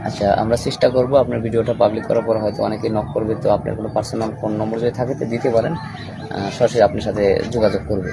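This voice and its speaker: A man's voice: lowish voice, level tone, quick pace, vocal fry. A man with a Bangladeshi accent hosting an interview, addressing his guest and the viewers. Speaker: interviewer and host. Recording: outdoor street noise with traffic.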